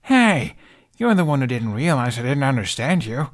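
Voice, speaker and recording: An actor playing an awkward young man, stammering and excitable, with a wry, sarcastic streak. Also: mid-range voice, moderate pace, lightly acted. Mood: animated, wry.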